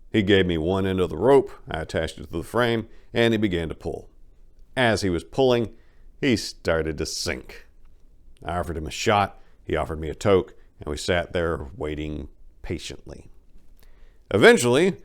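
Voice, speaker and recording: A low-pitched male narrator delivers a first-person story as a dramatic reading. He leans hard on some phrases and lets others fall quieter, in the manner of an audiobook performance.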